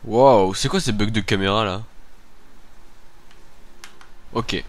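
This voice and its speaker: A man's voice: lowish voice, normal speed. A man with a head cold giving casual, informal video game commentary, muttering to himself as he plays. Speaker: let's play host.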